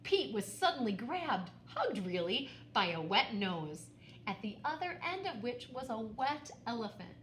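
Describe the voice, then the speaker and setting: A woman reads a children's picture book aloud in an expressive, dramatic way, pausing and stressing key words. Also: a little high. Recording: roomy echo, faint background noise.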